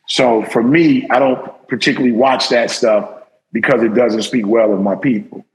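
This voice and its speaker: A man hosting a panel talk, retelling conversations in a lively, animated way. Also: medium pitch, normal speed, slight background noise, low-quality recording. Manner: animated storytelling, acts out quotes. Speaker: podcast host. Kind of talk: casual panel chat.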